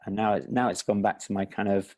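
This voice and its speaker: A man with an Estuary English accent, talking as an indie filmmaker guest in a relaxed, self-deprecating interview chat. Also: lowish voice, fast. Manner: relaxed, self-deprecating, with frequent restarts and laughs.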